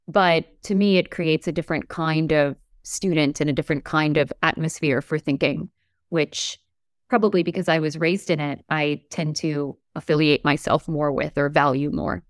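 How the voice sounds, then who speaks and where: A woman professor in her forties, raised in the United States, speaking in an articulate, measured way with emphasis in an interview. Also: deep voice, fairly even intonation, dry recording, studio quality. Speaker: English professor. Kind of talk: reflective interview talk.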